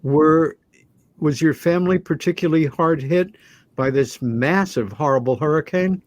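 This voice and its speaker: A man hosting a talk show, speaking in a measured, deliberate, conversational way. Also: a little high, no echo. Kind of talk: conversational show introduction and interviewing.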